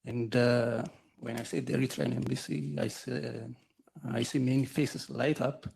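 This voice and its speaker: A man giving a deliberate, sardonic formal rebuttal as an embassy representative at a roundtable. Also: mid-range voice, gravelly, darkish tone, breathy edge, poor audio.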